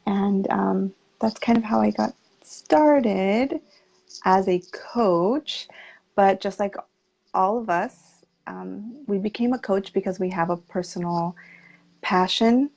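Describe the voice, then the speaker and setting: A woman from the United States with a gravelly, mellow voice tells her story in an earnest, reflective way. She is heard over a video call. The audio is dull and lo-fi. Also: medium pitch, slow. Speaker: guest, health coach. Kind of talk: interview storytelling.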